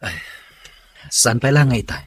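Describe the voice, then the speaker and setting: Man acting a husky, reluctant boyfriend. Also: mid-range voice, animated, normal speed, a bit bright, no room sound. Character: reluctant, thrifty working boyfriend from the province. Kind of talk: audio drama acting.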